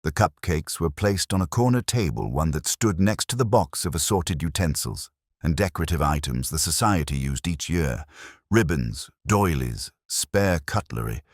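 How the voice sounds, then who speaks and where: A man narrating a cosy mystery story in a measured, formal, literary manner. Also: rumbling low, lively intonation, no echo, studio quality. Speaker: audiobook narrator.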